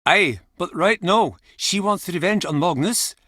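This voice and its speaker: A gruff old fisherman from an adventure game. His voice sits high and swings widely, with blunt, theatrical, halting turns of phrase. He comes across as embittered and wounded, in a dry, close recording. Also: lively intonation, bright, forceful tone, dry recording, full character voice. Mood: wry. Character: gruff, embittered, grieving old Icelandic fisherman and pub regular. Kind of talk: video game character acting.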